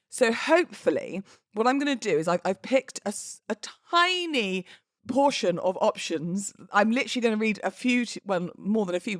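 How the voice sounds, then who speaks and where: A woman's voice: medium pitch, dry recording, studio quality. A British woman in her thirties chatting casually and expressively as a solo podcast host, in an informal, slangy way. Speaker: podcast host and wedding celebrant.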